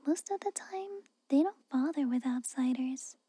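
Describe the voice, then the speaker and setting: A woman playing a guarded, haughty but secretly caring castle maid in a whispered ASMR roleplay, halting and grudging, close to the microphone. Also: sits high, animated, no room sound. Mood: wary, puzzled. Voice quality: soft, breathy whisper. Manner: hesitant, halting, grudging, cautioning.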